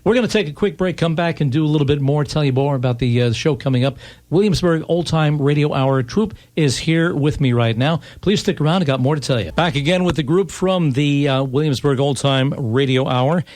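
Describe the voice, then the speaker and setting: A man's voice: a little high, fairly quick, darkish tone. A man hosting a casual live radio interview in a studio, chatting in a folksy way. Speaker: radio host and performer.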